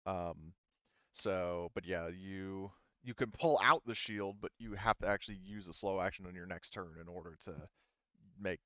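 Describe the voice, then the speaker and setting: A man acting as game master, casually explaining rules and thinking aloud in a halting way. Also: deep voice, a bit bright, no echo, highs cut off. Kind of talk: casual tabletop game narration and rules talk.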